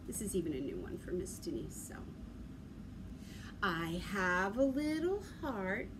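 A woman's voice: mid-range voice, sing-song, measured pace. A middle-aged woman leading a children's story time with rhymes and action songs, giving simple, encouraging instructions to young children. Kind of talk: children's sing-along and rhyme session, talking to young children. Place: indoor library room with large windows. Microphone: camera a few feet away. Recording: clearly noisy room ambience.

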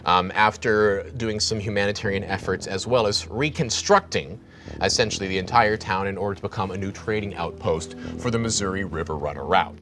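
A man in his thirties narrates as game master, his voice sitting very low. He meanders through scene-setting, with fillers along the way. A faint hiss lies under the studio sound. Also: fairly bright tone. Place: studio set with a long table.

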